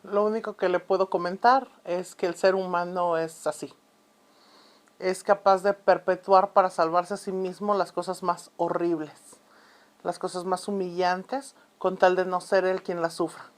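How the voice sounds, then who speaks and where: A woman playing an authoritative, unsentimental historian interviewed in a radio drama, explaining events in a measured, deliberate way. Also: fairly low pitch, flat delivery, natural voice.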